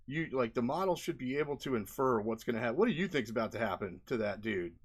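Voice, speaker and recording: A man's voice: medium pitch, animated, fast, slightly rough. A man giving casual, unscripted commentary as an indie developer presenting a video, rambling and often tagging on "like" and "right?", with an exasperated edge. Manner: rambling, frequent "like" and "right?" tags.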